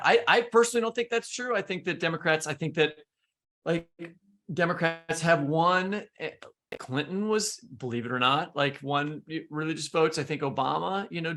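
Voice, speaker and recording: A man's voice: fairly high pitch, a bit bright. A man hosting a podcast, talking politics casually and thinking aloud in an informal, hesitant way. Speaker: podcast host.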